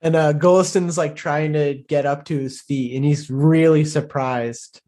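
A man playing a tabletop roleplaying game, casually describing his character's actions in a halting, hesitant way. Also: fairly high pitch, steady tone, darkish tone. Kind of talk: casual improvised roleplay narration.

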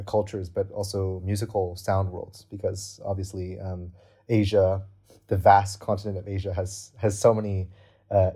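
Guy, young, low mellow voice, earnest, kinda flat, thinking out loud in an interview. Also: breathy edge. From Canada. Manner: earnest, explanatory, thinks aloud. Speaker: guest cellist. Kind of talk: interview answers.

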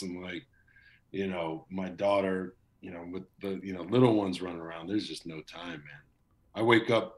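Deep-voiced man chatting casually. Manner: relaxed, rambling, many fillers and restarts. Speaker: voice actor. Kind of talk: casual interview chat. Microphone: video call.

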